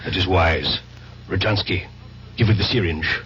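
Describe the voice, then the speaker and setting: An actor playing a cold, menacing male spy chief who gives orders in a measured, authoritative way in a radio drama. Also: sits low, a bit bright. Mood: cold, commanding. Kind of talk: 1970s radio drama acting. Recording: noisy, dull old radio broadcast recording.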